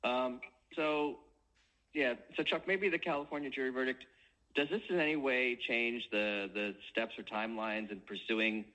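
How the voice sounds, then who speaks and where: A man's voice: medium pitch, moderate pace, sharp tone, poor audio. A man speaking as a financial analyst, putting questions to executives on an earnings call.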